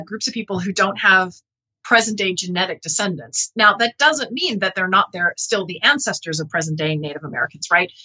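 Bright-voiced woman explaining academic research. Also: fairly low pitch, husky, poor audio. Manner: earnest, explanatory, checks with "right?". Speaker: interview guest, geneticist and author. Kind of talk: conversational academic interview.

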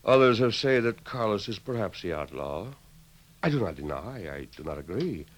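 An actor playing a courtly, flattering Mexican gunslinger with a Mexican accent in a 1950s radio western. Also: male voice, lowish voice, normal speed. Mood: sly. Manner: courtly, sly, flowery phrasing. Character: masked hero posing as smooth, flattering Mexican gunslinger.

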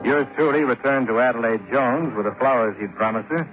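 An American actor playing a hard-boiled, wry investigator, narrating a 1950s radio detective drama in a clipped, matter-of-fact way. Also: male voice, medium pitch, fairly quick, close to an everyday voice. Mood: wry narration. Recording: tinny old 1950s radio recording, music behind.

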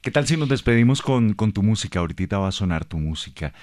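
A man's voice: fairly low pitch, lively intonation, fast. A man from Bogotá, Colombia, with a Bogotá accent, hosting a radio interview and encouraging his guest with frequent short agreements. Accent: Bogotá Colombian Spanish. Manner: affirming, warm sign-off, frequent "ujú". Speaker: radio host.

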